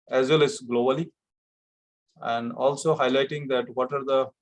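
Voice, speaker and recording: Halting Indian man giving formal thanks. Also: medium pitch. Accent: Indian English. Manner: halting, formal, many fillers. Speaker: training participant. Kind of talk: online training Q&A. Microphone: video call.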